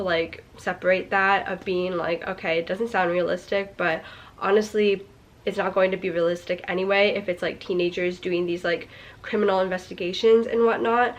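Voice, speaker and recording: A young woman chatting casually about a book she is reading, critical and full of "like", her voice stuffy and nasal from a cold. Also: mid-range voice. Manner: chatty, critical, heavy use of "like". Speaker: book vlogger. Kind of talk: casual book review chat.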